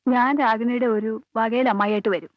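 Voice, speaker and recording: An actress playing a guarded, loyal aunt who lives with the family, answering carefully and matter-of-factly in a film drama. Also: female voice, fairly high pitch, fairly even intonation, fairly quick, close to an everyday voice. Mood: polite, plain. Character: guarded, loyal female relative living in the household. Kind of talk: Malayalam film dialogue. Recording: dull old film soundtrack, faint music.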